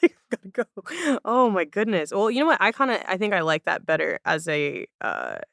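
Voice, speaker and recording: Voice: medium pitch, huge pitch swings, normal speed, gravelly, fairly bright tone, no room sound, studio quality. A podcast host interviewing casually, phrasing thoughts in a tentative, reflective way.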